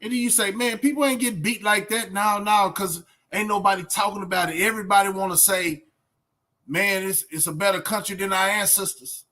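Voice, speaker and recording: A man with a high, bright, forceful voice and a Southern US accent. He talks like a streamer reacting to a clip, casual and colloquial. He pushes his points with emphasis and rhetorical questions. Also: steady tone, brisk. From southern United States. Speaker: YouTube reaction host.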